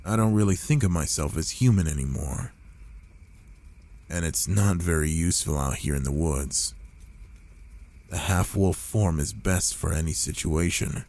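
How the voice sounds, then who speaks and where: Man voicing a very deep, slow-talking gruff werewolf. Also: darkish tone. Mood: shy, hesitant. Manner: slow, halting, murmured delivery with pauses. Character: gruff, reclusive male werewolf, reluctantly caring and protective. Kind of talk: ASMR boyfriend roleplay.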